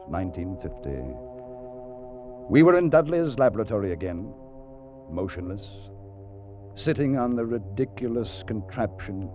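Intense adventurer, dull vintage radio sound. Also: male voice, on the low side. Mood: haunted, reflective. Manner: dramatic, intense narration. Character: anguished adventurer recounting his time travel ordeal. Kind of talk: 1950s radio drama acting. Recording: dull vintage radio recording, music behind.

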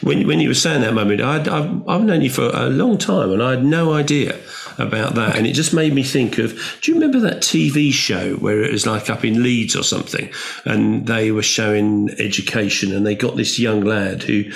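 A man's voice: medium pitch, animated, moderate pace, vocal fry. A British man co-hosting a podcast, chatty and blunt, rambling through a story. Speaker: podcast co-host.

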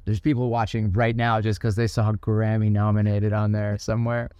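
A man's voice: fairly low pitch, little pitch movement, moderate pace, darkish tone, clear, resonant tone, no echo, highs cut off. A man hosting a podcast interview in an upbeat, chatty way, laughing often and using lots of fillers. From Ohio. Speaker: podcast host. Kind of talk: casual interview.

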